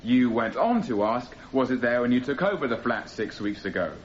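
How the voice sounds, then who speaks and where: An actor playing a young radical defendant who questions coolly and insistently in a radio courtroom drama. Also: male voice, mid-range voice, animated, lightly acted. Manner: deliberate, insistent, probing. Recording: dull radio recording with clear noise.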